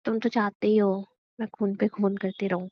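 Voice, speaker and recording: A woman's voice: medium pitch, little pitch movement, normal speed, no echo, lightly acted. A woman acting as a worried, doubtful young wife in a conversational romantic audio drama, questioning and playfully threatening. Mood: uneasy, doubtful. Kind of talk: romantic phone-call style audio drama in Hindi. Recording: dry, dull lo-fi audio.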